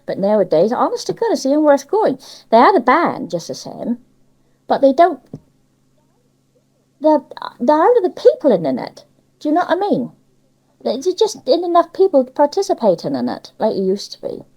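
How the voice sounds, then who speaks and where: Older cornish lady chatting away, soft mellow tone, voice up and down loads, lots of dialect and little tag questions. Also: sits high, wildly swooping pitch, moderate pace, low-quality recording. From Cornwall, England. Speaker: interviewee sharing local memories. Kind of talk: casual reminiscing chat.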